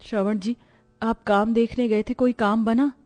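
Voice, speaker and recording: A woman's voice: a little high, fairly even intonation, a little slow, darkish tone, lightly acted. A woman playing a devout, long-suffering poor daughter-in-law in a cartoon moral story, earnest and reverent. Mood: caring.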